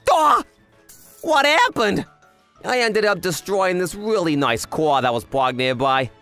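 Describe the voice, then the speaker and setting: Male actor as young gangster underling, manga cartoon, excitable, easily scared, chatty, very lively pitch. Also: unhurried, soft background music, full character voice. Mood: excited, wailing. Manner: excitable, exclamatory. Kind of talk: animated manga dub dialogue.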